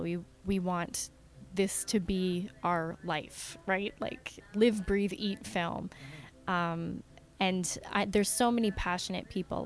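A woman's voice: mid-range voice, darkish tone. Earnest, enthusiastic woman. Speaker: independent filmmaker being interviewed. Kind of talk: casual interview talk.

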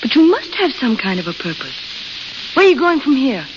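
An actress playing a frank, restless young waitress, eager and wistful, in old radio drama style. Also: female voice, high voice, very animated, fairly quick. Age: young adult. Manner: plain-spoken, eager, wistful. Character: young desert waitress, dreamy, frank, restless amateur painter. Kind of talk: 1930s-40s radio drama acting. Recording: hissy, crackly, muffled old radio recording.

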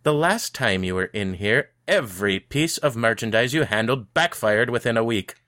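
Grumpy old shopkeeper wizard, voiced by a male narrator, irritable and dismissive, sharp edge to the tone. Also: medium pitch, lively intonation, moderate pace. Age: older. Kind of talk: audiobook character voice.

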